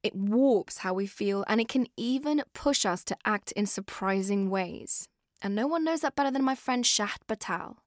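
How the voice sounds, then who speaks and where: A British woman narrating a scripted podcast as host, in an expressive, conversational way that speaks straight to listeners. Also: medium pitch, normal speed, no echo, clean studio sound. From born in Afghanistan, moved to the UK.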